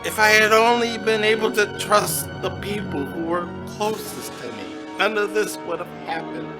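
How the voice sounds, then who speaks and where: A man playing a hapless, earnest, apologetic husband in a comic noir radio drama, halting and stammering as he speaks. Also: high-pitched. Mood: remorseful. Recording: music behind.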